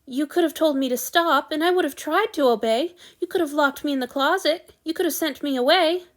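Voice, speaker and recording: A woman's voice: high voice, brisk, voice pushed up, close to an everyday voice. A woman voicing an eager, emotional young male servant in a storybook who gushes breathlessly. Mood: earnest. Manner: breathless, gushing, tearful.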